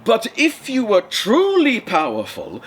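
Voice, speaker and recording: A man's voice: sits high, huge pitch swings, unhurried, breathy edge, pitched above the performer's own voice, heavily acted. A man voicing a nervous but clever male fisherman who stammers and hesitates. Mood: coaxing.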